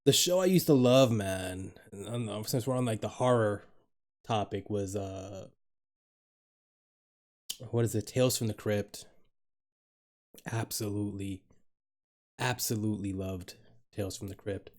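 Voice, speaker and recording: A man's voice: lowish voice, a little slow, long pauses, a little mellow. A man from the Dominican Republic live streaming from home. He rambles to his chat, laughs a lot and swears casually.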